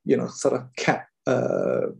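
A Singaporean man, an artist, talking thoughtfully with many pauses and fillers on a video call. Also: a little mellow. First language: Mandarin.

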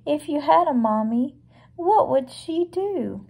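A woman voicing a kind, comforting mother bear in a storybook, gentle and sympathetic. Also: high voice, expressive, slow pace, gravelly, pitched above the performer's own voice, exaggerated voice.

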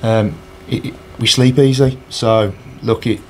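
A man's voice: mid-range voice, steady tone, measured pace, darkish tone, a little hiss or hum. A man, answering interview questions earnestly with many fillers and restarts, recorded indoors on a handheld recorder. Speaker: charity match organiser. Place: indoors in front of a sponsor board. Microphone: handheld recorder held by interviewer.

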